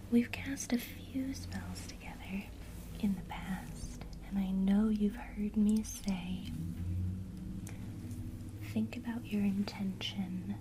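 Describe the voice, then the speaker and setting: A woman teaching witchcraft basics in a soft, encouraging ASMR whisper. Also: medium pitch, noisy background.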